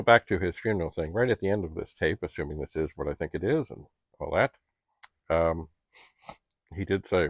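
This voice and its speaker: A man's voice: low-pitched, dry recording. A middle-aged man talking casually to camera in a rambling, chatty, politely old-fashioned way, as a home vlogger. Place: basement at home.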